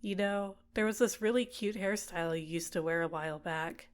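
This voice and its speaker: A woman playing a doting, possessive villain in an ASMR roleplay, speaking in a gentle, coaxing, soothing way. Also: lowish voice, normal speed, close to an everyday voice. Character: doting, possessive female villain caring for a captive. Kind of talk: ASMR roleplay, personal attention.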